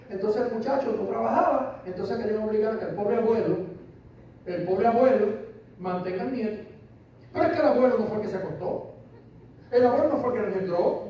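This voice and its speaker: Older Puerto Rican pastor, high mellow voice, emphatic, echoey hall mic from far off. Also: male voice. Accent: Puerto Rican Spanish. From Puerto Rico. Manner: emphatic, repeats phrases, asks listeners "¿entiendes?". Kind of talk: sermon. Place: church hall. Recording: echoey hall, voice from far off, faint room noise.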